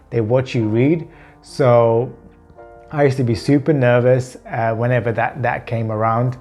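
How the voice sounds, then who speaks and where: A man in his thirties speaks openly to the camera, his sentences broken by repeated words and blocks that he leaves in. His pitch sits in an ordinary middle range. Faint music plays behind him. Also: darkish tone, dull audio. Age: 30s. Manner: earnest, open, conversational. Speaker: vlogger. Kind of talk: personal vlog talking to camera.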